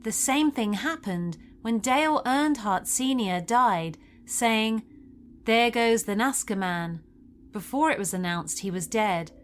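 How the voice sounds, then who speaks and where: A woman's voice: mid-range voice, a little hiss or hum. A woman reading a scripted first-person paranormal story as a narrator.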